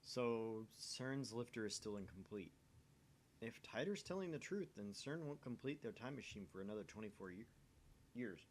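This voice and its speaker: A man's voice: lowish voice, expressive, normal speed, a little hiss or hum. A man hosting a let's play, reading visual novel narration aloud and chatting casually in a self-deprecating way.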